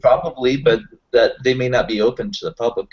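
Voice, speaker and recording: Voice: medium pitch, fairly even intonation, a little fast, dull audio. A roleplay player improvising casual in-character talk as an affable tech contractor, explaining things in a hesitant, rambling way. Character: Kyle, affable self-employed tech contractor.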